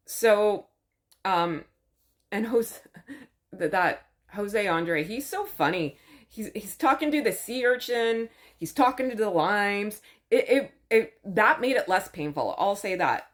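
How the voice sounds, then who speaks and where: A woman host giving a chatty, sarcastic recap of a show, full of wry asides. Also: mid-range voice. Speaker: channel host.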